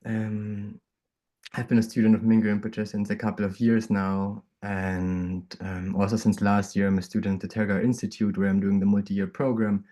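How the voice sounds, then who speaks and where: Low, flat man on dull call audio. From Greece, raised in Germany. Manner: halting, hesitant with many fillers. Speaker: volunteer coordinator. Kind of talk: casual self-introduction. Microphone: video call.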